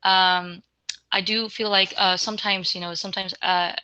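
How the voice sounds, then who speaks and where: Young woman on a panel, lots of you knows and ums, dry close sound, sharp tone. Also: mid-range voice, level tone, normal speed, no room sound, dull audio. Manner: hesitant, lots of filler words. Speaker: alumni panelist. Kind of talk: panel discussion answer.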